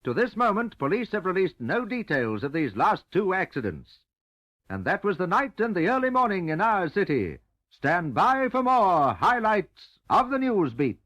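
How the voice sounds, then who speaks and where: A man with an Australian accent announces the news on old radio. His voice is high and swings animatedly in pitch. The delivery is scripted, emphatic and dramatic in a 1960s bulletin style. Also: big pitch swings, normal speed, fairly bright tone. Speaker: radio newsreader. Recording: vintage radio recording.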